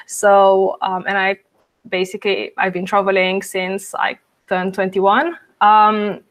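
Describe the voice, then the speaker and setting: A woman's voice: mid-range voice, flat delivery, slow pace. A woman in her twenties from Romania, a guest on a travel podcast, answering in a friendly way with frequent "um"s. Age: 20s. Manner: friendly, many um fillers, laughs lightly. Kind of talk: podcast interview.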